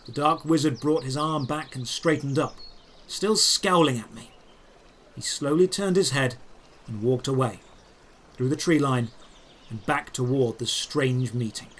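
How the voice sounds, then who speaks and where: A man narrating a scripted first-person fantasy adventure in a dramatic, deliberate, descriptive way. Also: mid-range voice, a little hiss or hum.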